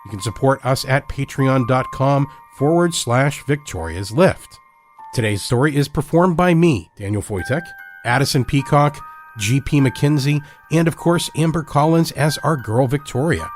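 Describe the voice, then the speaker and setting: A man hosting and narrating an audio drama, reading a scripted story in a measured, deliberate manner. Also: mid-range voice, lively intonation, faint music behind. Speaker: host and narrator.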